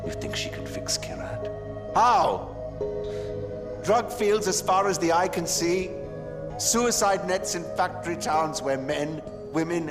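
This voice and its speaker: Embittered male rebel, game cutscene: impassioned, accusing, lively pitch over loud music. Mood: bitter reproach. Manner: intense, accusing, emphatic. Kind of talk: video game cutscene acting.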